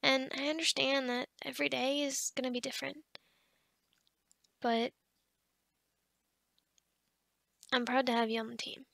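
A woman acting a kind, encouraging office boss in an ASMR roleplay, speaking softly and conversationally with hesitant pauses and sighs. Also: sits high, level tone, gravelly, dry recording, clean studio sound. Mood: warm, encouraging.

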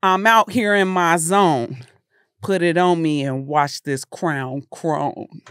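A woman's voice: low voice, takes it slowly, fairly bright tone, smooth, no echo, studio quality. A young woman co-hosting a podcast, playful and laughing often in casual banter. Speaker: podcast co-host.

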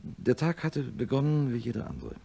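An actor playing a middle-aged neurosurgeon professor in a radio drama, measured, dry and formal. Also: male voice, medium pitch, wildly swooping pitch, vocal fry, warm tone. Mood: grave, confessional. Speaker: narrator and lead actor. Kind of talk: German radio drama acting.

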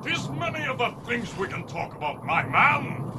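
An actor playing a boisterous, proud winged war leader of falcon men, hearty and declamatory in a radio serial. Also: male voice, very high voice, sing-song, normal speed. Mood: lordly. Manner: hearty, declamatory, grandly exclaiming. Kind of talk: old-time radio serial acting. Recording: very noisy radio drama effects mix.

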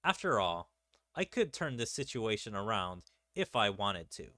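A man's voice: fairly low pitch, very animated, moderate pace, pushed and bright, dry recording, studio quality, pitched below the performer's own voice. A man reading game dialogue as a haughty, scheming, coolly menacing female vampire ruler.

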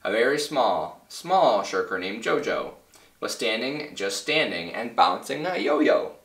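A man in his twenties reading a children's story aloud as a teacher, expressive and clearly phrased for young children. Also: fairly low pitch, measured pace. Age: 20s. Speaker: first grade teacher. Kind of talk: children's read-aloud narration. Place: small indoor room with bare wall and whiteboard. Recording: roomy, slightly distant mic.

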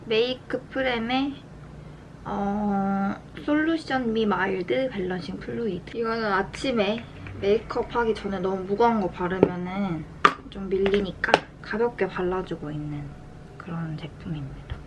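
Clear room noise sits behind a young woman with a mid-pitched voice. She talks in an unhurried, soft-spoken way, like a lifestyle vlogger chatting through her daily routine. Her delivery is casual and conversational. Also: highs cut off. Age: young adult. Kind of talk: casual chat to camera during daily routine.

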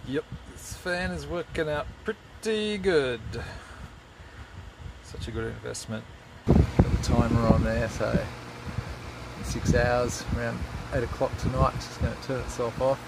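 A man's voice: medium pitch, unhurried, gravelly, heavy background noise. A man in his forties with an Australian accent, talking casually and unscripted as a laid-back, colloquial vlogger. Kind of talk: casual, unscripted vlog talk.

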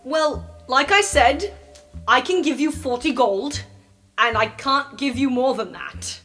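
A woman's voice: high-pitched, takes it slowly, fairly bright tone. A woman playing in an improvised tabletop roleplay game, talking casually in character. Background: background music.

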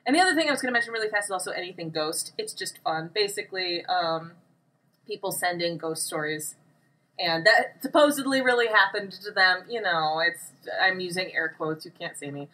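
A woman's voice: lowish voice, bright, forceful tone. A woman co-hosting a casual podcast, talking in an informal, rambling way with joking asides. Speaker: podcast co-host. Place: small room. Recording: slightly distant microphone.

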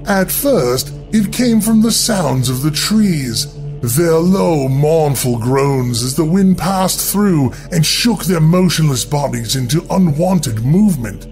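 Male horror storyteller: higher-pitched voice, deliberate theatrical delivery, very animated intonation, over music. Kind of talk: dramatic scripted horror narration. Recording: music bed under the voice.

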